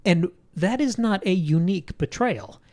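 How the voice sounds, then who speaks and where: A man's voice: high-pitched, expressive, moderate pace, a little mellow. A man co-hosting a book club podcast, thinking aloud in articulate, layered literary analysis. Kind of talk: literary discussion, analytical chat.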